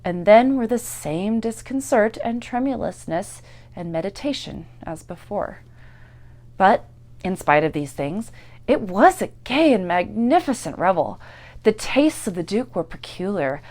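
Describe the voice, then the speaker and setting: A woman narrating a classic literary tale in a theatrical, deliberate way, swelling loud and dropping to hushes. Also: mid-range voice, sing-song. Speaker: actor and narrator. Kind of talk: dramatic literary story narration.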